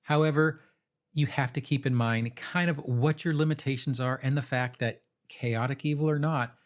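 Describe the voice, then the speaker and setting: A man narrating a scripted tabletop roleplaying explainer in a measured, instructive way, with casual asides, speaking directly to the listener. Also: mid-range voice, fairly even intonation. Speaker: host of a tabletop roleplaying advice channel.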